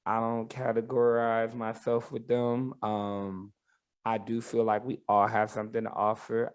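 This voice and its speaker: Lisping Southern man, fairly low voice. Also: clear, resonant tone. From Tennessee, United States. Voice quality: lisp. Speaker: guest, R&B soul singer. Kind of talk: candid podcast interview. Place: studio.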